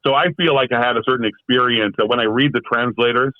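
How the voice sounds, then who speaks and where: A man's voice: medium pitch, steady tone, fast talker, dry recording. A man being interviewed as a guest, talking in a thoughtful, rambling way with lots of fillers and restarts, heard over a remote call. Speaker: guest, translator. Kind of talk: casual conversational interview. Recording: tinny phone-like remote call audio.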